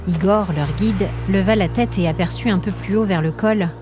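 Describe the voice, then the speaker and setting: A woman narrating scripted fiction as a storyteller, with measured, literary phrasing. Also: mid-range voice, music in the background.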